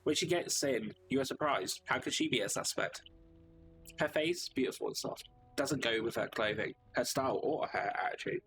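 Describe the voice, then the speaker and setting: A man's voice: medium pitch, lively intonation, moderate pace, background noise. Male streamer reading a story game. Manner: casual, reads narration with asides. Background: game music.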